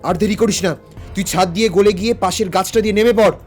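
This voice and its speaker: A man plays an older detective uncle in an audio drama, brave and protective. His voice sits somewhat high, and he speaks with grave, urgent authority. Faint music plays behind him. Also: quick pace, lightly acted. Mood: urgent, commanding. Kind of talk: Bengali audio story acting.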